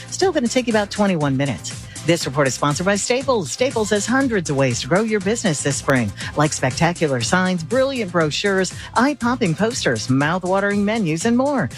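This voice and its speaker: An American woman working as a radio traffic reporter, with a conversational way of reading. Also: on the low side.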